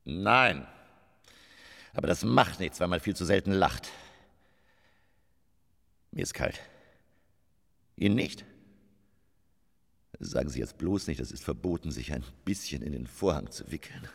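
An actor in a radio drama playing a melancholy, self-absorbed stage actor who declaims and swings between whispers and outbursts. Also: male voice, very deep voice, animated, measured pace, vocal fry, pauses often, fairly bright tone, exaggerated voice. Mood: melancholy, pompous. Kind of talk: German radio drama monologue.

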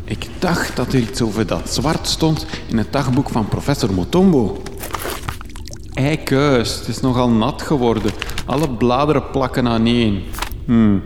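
A man with a Flemish accent playing a fussy, excitable young reporter on an adventure in a radio drama. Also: medium pitch, a little slow, natural voice. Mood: hopeful. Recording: echoey cave reverb, noisy ambience.

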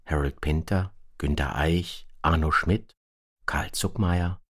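A man reading scripted radio documentary narration in a measured, matter-of-fact manner. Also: extremely low pitch. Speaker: narrator, presenter.

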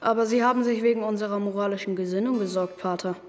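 An actor playing a serious scholarship schoolboy in a German radio drama, measured and dry, polite but stubborn. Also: male voice, high voice, steady tone, faint music behind, lightly acted.

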